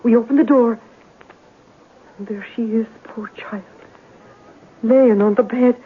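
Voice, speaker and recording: An actress playing a flustered, garrulous middle-aged working-class landlady in an old-time radio drama, rambling with exclamations and rough grammar. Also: a little high, fairly even intonation, measured pace, slight background noise, natural voice. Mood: dramatic, mournful. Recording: muffled vintage radio broadcast.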